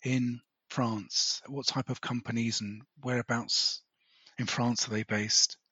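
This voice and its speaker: A man hosting a podcast interview who asks relaxed follow-up questions and sums up what he hears. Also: medium pitch, slow, slightly rough, no room sound, lo-fi sound. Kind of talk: casual conversational interview.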